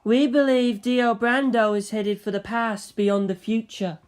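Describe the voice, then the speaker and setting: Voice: mid-range voice, fairly even intonation, unhurried, clean tone, voice pushed up, lightly acted. A narrator playing a solemn, alarmed male national leader in a story.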